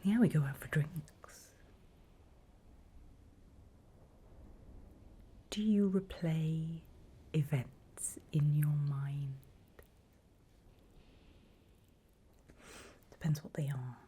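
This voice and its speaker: A British woman playing a sly, greedy female demon auctioneer in a whispered ASMR roleplay, with a soft breathy voice and a deliberate, coolly questioning manner. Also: low-pitched, fairly even intonation, full character voice. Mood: sly, probing.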